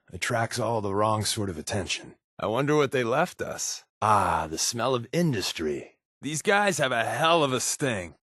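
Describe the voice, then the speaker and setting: An actor playing a wisecracking undercover agent in a post-nuclear wasteland, speaking with wry, sarcastic quips as video game companion lines. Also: male voice, medium pitch, a bit bright, no echo, clean studio sound. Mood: wary, quippy.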